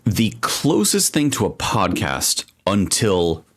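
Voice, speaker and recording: A man with a low, deep voice that swoops up and down a lot as he talks. He co-hosts a casual podcast and tells long, rambling stories, piling on asides and restarts as he goes. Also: slow pace, slightly rough. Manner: rambling, animated storytelling with frequent asides. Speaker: podcast co-host.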